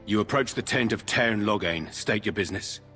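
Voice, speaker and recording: A man playing a gruff, dutiful guard in a fantasy game, curt, formal and guarded. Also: lowish voice, moderate pace, bright, forceful tone, faint music behind. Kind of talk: fantasy video game dialogue.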